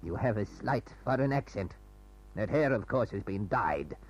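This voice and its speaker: An actor playing a sinister, doddering foreign spy in an old radio thriller, outwardly polite, fussy and given to stammering and repeating himself. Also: male voice, fairly low pitch, animated, husky. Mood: menacing. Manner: stammering, repetitive, fussy, drawn-out phrasing. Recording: muffled, noisy old radio recording with hum.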